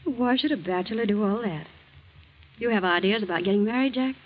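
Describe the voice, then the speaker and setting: A woman's voice: mid-range voice, expressive, fairly quick, natural voice. An actress playing a reserved, coolly indifferent woman in a 1940s radio drama, speaking in a terse, guarded way. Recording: tinny, noisy old radio recording.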